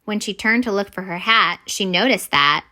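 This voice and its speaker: Expressive, bright-voiced woman storyteller. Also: mid-range voice, moderate pace. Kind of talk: children's picture book read-aloud.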